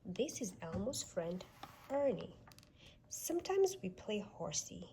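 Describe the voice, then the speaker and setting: A woman reading a children's storybook aloud with expressive, sing-song delivery aimed at young children. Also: medium pitch, takes it slowly, creaky, slight background noise. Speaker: storybook reader.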